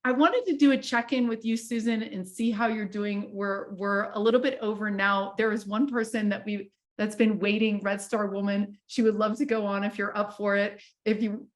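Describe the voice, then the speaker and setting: A woman's voice: mid-range voice, fairly even intonation, moderate pace, hardly any pauses. A woman hosting a live online healing session, talking in a chatty way with many fillers and restarts. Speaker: host. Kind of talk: casual chat.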